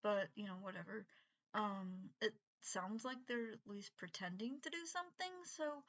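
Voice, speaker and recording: A middle-aged woman with an unusual voice from breathing troubles, rambling in a halting, casual monologue full of fillers and restarts. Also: mid-range voice, fairly bright tone, dull audio. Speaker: vlogger.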